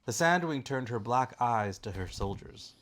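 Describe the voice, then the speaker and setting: A man narrating a storybook aloud in a deliberate, expressive, dramatic way. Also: medium pitch. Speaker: narrator, father reading aloud.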